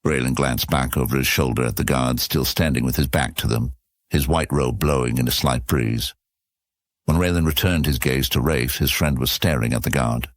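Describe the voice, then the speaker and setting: A man's voice: very deep voice, normal speed, dry recording, studio quality. A man narrating a scripted fantasy story as the narrator of an audio serial.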